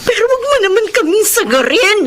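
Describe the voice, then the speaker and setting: Jealous, wounded Filipina wife, very shrill-high and swooping, emphatic melodrama delivery, noisy backdrop. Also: female voice, sing-song, a little fast. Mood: tearful pleading. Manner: tearful, accusing, emphatic. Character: jealous, hurt wife pleading with her husband. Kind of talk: Filipino radio-style melodrama acting.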